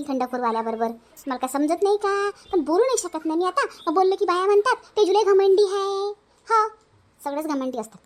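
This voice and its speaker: A woman voicing village women in a family cartoon, bickering in-laws, speaking Marathi with a Varhadi accent. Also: high voice. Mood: resentful.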